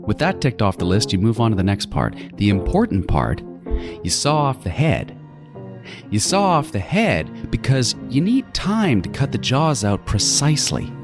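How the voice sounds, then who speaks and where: A man playing a meticulous, gloating serial killer in a horror audio drama, savoring his words in a theatrical monologue. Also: fairly low pitch. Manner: theatrical, savoring, deliberate phrasing with sudden swings.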